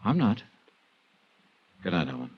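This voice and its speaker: An actor playing a tough, wry American insurance investigator in a 1950s radio detective drama, clipped and deadpan. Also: male voice, low-pitched, measured pace, vocal fry, noisy background, heavily acted. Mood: wry, brooding. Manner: clipped, hard-boiled, deadpan. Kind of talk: 1950s radio detective acting with first-person narration. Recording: dull old radio recording with music.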